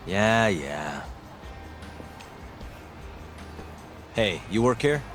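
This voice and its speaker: Low-voiced, offhand American detective. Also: male voice, very animated, takes it slowly, a bit bright, soft background music, exaggerated voice. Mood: nonchalant. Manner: casual, dry, offhand. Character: cool, nonchalant male private detective. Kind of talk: video game voice acting.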